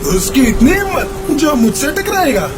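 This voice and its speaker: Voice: sits high, sing-song, normal speed. A performer voicing a greedy, menacing eagle in a children's cartoon, boastful and threatening. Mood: indignant. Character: menacing, greedy hunting eagle that preys on small birds. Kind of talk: Hindi children's cartoon voice acting. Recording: loud music under the voice.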